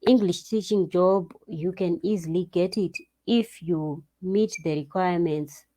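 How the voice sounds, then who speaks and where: A woman with a fairly low, warm, mellow voice gives practical advice to her viewers. She takes her time, drifting into asides and restarting her sentences as she goes. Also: low-quality recording. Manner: unhurried, loose, with restarts and asides. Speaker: vlogger giving job advice. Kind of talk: casual advice talk to camera. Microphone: screen-share recording.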